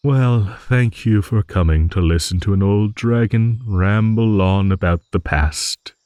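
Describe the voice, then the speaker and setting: A man's voice: lowish voice, unhurried, darkish tone, no room sound, lightly acted. A man voicing an ancient, good-natured old dragon who rambles and muses in a fantasy audio roleplay. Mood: musing, fond. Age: older. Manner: rambling, musing, with hums and chuckles.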